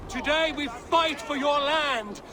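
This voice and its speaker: An actor playing a grim, commanding Viking warrior leader in a dramatic video game. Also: male voice, very high voice, takes it slowly, noisy background. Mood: rousing oratory.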